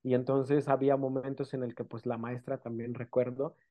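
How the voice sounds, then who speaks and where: A man from the Oaxaca coast, an Amuzgo native speaker, telling his story as an interview guest in a halting way, full of fillers and tag questions. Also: mid-range voice, little pitch movement, dark tone. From Mexico, Oaxaca coast. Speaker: guest, documentary filmmaker. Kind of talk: casual interview, personal storytelling. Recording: dull lo-fi sound.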